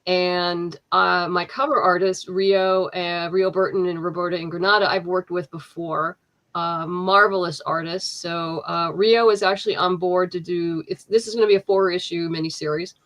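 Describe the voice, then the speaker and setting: A woman's voice: lowish voice, fairly even intonation, normal speed, fairly bright tone. A woman writer being interviewed, talking casually with lots of fillers and restarts. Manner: chatty, many fillers and restarts, laughs easily. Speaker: comic book writer, guest.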